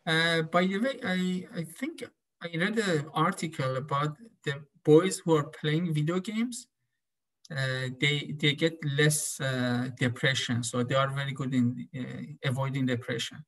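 A man teaching a class over a video call, calling names slowly and asking students follow-up questions. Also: a little high, a bit bright. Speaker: professor.

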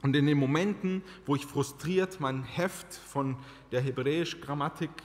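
A man in his thirties preaching a personal testimony sermon from a church pulpit, earnest and slowing down to stress key phrases. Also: a little high. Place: church sanctuary, large indoor hall. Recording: reverberant church hall.

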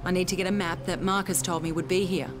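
A woman's voice: lowish voice, fairly even intonation, quick pace, music in the background, lightly acted. An actress playing a tough, impatient woman survivor in a video game, blunt, direct and exasperated. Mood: urgent, businesslike. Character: tough, foul-mouthed, impatient female survivor. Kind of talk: video game cutscene acting.

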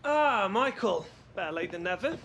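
A man's voice: high voice, lively intonation, moderate pace, vocal fry, a bit bright, slight background noise, exaggerated voice. A man playing a cheeky, easygoing young British footballer in a video game. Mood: bantering. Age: young adult. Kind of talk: video game cutscene dialogue.

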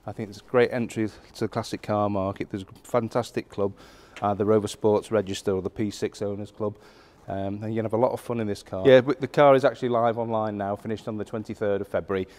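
Middle-aged man, lowish voice, easygoing off-the-cuff auction presenter, warehouse, phone-recorded. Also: fast talker, hardly any pauses. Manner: relaxed and conversational, with frequent fillers and restarts. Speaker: classic car auction presenter. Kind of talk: unscripted walkaround car presentation. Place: large indoor auction warehouse.

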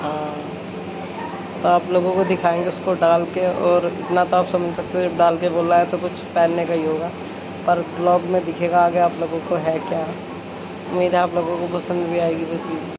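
A woman's voice: fairly low pitch, completely flat delivery, moderate pace. A woman, chatting casually as a home vlogger. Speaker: village vlogger. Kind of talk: casual home vlog chat and narration. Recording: muffled phone audio with clear background noise.

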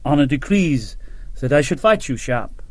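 A man doing a soft-spoken old colonel. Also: fairly high pitch, lively intonation, moderate pace, no room sound, close to an everyday voice. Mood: gentle. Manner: soft, courteous, sad. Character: grey-haired older male French colonel, gentle.

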